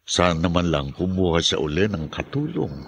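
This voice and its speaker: A man's voice: sits low, big pitch swings, normal speed, creaky, slight background noise, full character voice. A man acting a worried, mild-mannered brother in a radio drama.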